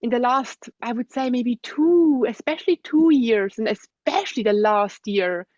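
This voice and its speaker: A young adult woman with a Swiss accent, a guest speaking thoughtfully in an interview, with soft laughs and hums of agreement. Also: fairly high pitch, lively intonation, unhurried, no echo, lo-fi sound. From Switzerland. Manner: thoughtful, soft-spoken, frequent gentle laughs and hums of assent. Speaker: guest, osteopath. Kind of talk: reflective interview conversation.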